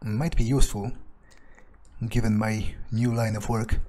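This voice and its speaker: Sardonic man muttering in character; husky, mellow tone. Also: on the low side, level tone, a little slow. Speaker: gamer roleplaying a character. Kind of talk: in-character game commentary.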